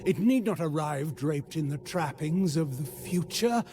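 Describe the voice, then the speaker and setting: An actor playing a haughty, scheming ancient vampire in a gothic video game, speaking in a grandiloquent, disdainful and deliberate way. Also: male voice, fairly high pitch, lively intonation, warm tone, a little hiss or hum. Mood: ominous, lecturing.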